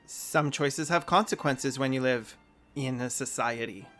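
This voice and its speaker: A man's voice: medium pitch, unhurried, fairly bright tone, faint music behind. A man hosting a video essay, mixing sarcastic, mocking asides with plain argument.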